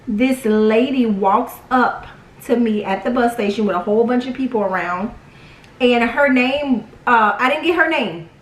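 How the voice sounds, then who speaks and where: A young woman with a high voice tells a personal story in a casual, dramatic way. She acts out quotes as she goes. The sound is roomy and distant from the mic. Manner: animated, dramatic retelling with acted quotes. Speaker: vlog storyteller.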